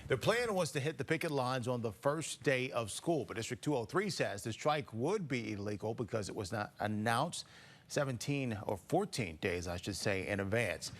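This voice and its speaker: A man's voice: mid-range voice, lively intonation, moderate pace. A man with an American accent anchoring a morning newscast, moving between scripted reading and brief banter. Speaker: TV news anchor.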